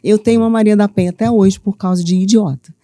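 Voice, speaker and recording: A middle-aged woman from Minas Gerais with a Minas accent, telling her story as a studio interview guest with lively, playful earnestness. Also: medium pitch, fast talker, dry recording. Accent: Minas Gerais Brazilian Portuguese. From Minas Gerais, Brazil. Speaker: guest, author and mentor.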